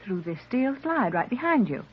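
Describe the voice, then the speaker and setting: An actress playing a gentle, concerned, well-spoken mother in an old-time radio serial. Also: on the high side, sing-song, normal speed, slightly rough. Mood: concerned, affectionate. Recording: muffled vintage radio recording.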